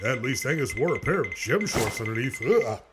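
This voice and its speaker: A man doing dramatic, animated scripted play-by-play of a fight. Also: mid-range voice, normal speed, music in the background. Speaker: fight commentator.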